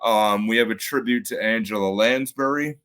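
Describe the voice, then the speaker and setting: A man with a bassy voice, a podcast guest in casual conversation, halting with many fillers and restarts. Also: a bit bright, smooth.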